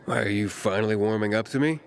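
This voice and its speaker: Guy playing friendly young game hero, bit low, curious and easygoing, background noise. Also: fairly quick, close to an everyday voice. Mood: curious, probing. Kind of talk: video game character acting.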